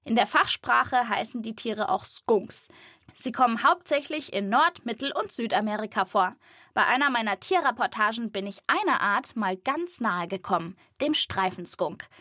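A woman presenting a children's podcast as an animal reporter, in lively scripted narration addressed to young listeners. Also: on the high side, no echo, clean studio sound.

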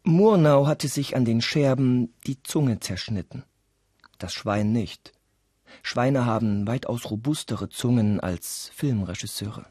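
A man narrating a radio play, reading scripted absurd stories in a deadpan storytelling manner. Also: mid-range voice, slow, breathy edge, no room sound, studio quality.

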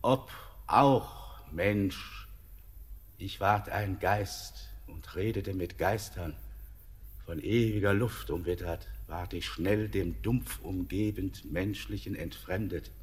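An ancient, otherworldly mountain spirit in a radio play, with a very deep voice. He speaks extremely slowly, weighing every word of his verse. A long reverb hangs behind him, as if in a hall. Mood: weary. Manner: very slow, weighty, declamatory verse. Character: old male mountain spirit, weary and otherworldly. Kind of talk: classic German radio play acting.

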